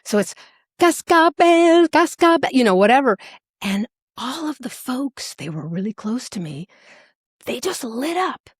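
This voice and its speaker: Animated American woman telling stories casually. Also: high-pitched, a little slow, breathy edge, no echo, clean studio sound. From Alaska, United States. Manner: animated, playful, acts out remembered remarks. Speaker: singer, podcast guest. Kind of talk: casual interview storytelling.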